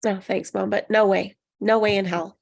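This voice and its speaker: Woman playing an awkward single woman, creaky voice. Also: medium pitch, steady tone, normal speed, a little mellow. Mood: weary, dry. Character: awkward, self-conscious, dry single woman, adult daughter. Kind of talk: comedy table read.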